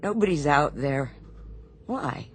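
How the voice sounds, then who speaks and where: A woman playing a no-nonsense Navy ship's doctor in a radio drama, husky, firm and insistent. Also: deep voice, animated, takes it slowly, a little hiss or hum. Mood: steady, probing.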